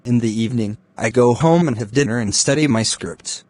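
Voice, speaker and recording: A man playing a courteous, easygoing young film star in a scripted cartoon dialogue for English learners, speaking in a deliberate way. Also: medium pitch, a little mellow, no echo, natural voice. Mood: pleasant, courteous.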